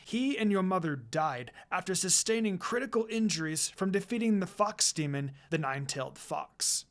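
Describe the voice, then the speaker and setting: A reader plays a kindly old grandfather and village elder in a fan fiction story. He speaks in a measured, solemn and gentle way, offering calm reassurance. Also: medium pitch, lightly acted. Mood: solemn, reassuring.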